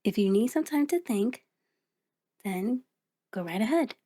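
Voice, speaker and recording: A woman's voice: fairly high pitch, expressive, measured pace, dark tone, breathy edge. A woman voicing a sentient mirror reflection in an ASMR audio roleplay, speaking directly to the listener in a conversational, hesitant, explanatory way. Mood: chatty, reassuring. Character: sentient female mirror reflection, sheepish, chatty, patient explainer.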